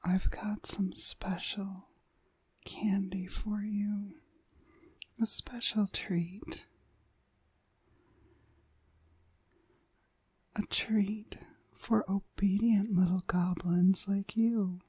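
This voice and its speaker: A seductive witch hypnotist, played by a woman, whispers very slowly in a heavily breathy voice. She is commanding and possessive, lulling the listener with deliberate phrases in an intimate ASMR roleplay. The sound is close and dry. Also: medium pitch, little pitch movement, mellow, no echo. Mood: teasing, commanding. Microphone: close, dry microphone.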